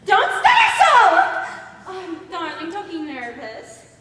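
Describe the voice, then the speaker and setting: A shrill, gushing theatrical socialite, echoey hall. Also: female voice, expressive, normal speed, sharp tone, exaggerated voice. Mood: gushing, breathless. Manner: affected, grand, effusive with endearments. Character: gushing, vain, theatrical socialite stage actress. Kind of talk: stage comedy acting, projected and theatrical. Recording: echoey hall from far off, faint noise.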